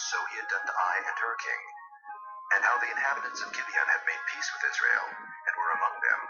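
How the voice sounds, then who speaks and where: A man narrating dramatized scripture in a solemn storytelling manner. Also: on the low side. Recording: muffled audio with music underneath.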